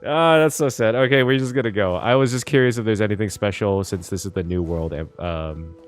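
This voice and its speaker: A man's voice: fairly low pitch, a little fast, faint music behind. A man streaming a game, reacting casually and emotionally to the story, often laughing, trailing off and exclaiming.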